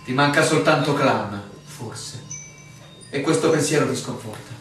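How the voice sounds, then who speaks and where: A man's voice: mid-range voice, measured pace, fairly bright tone, lightly acted. A male actor performs a frustrated newcomer in a radio drama, insistent and suspicious. His theatrical delivery reaches us from a distance through heavy echo, with some noise around it. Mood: tender, troubled. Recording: echoey, distant, noisy room sound.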